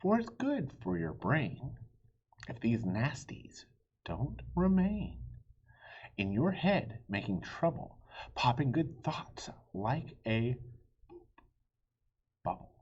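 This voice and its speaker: A man reading a children's story aloud in a theatrical way, with a breathy, husky voice that dips into hushed tones. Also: medium pitch, very animated, slow, pauses often, highs cut off. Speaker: children's book reader.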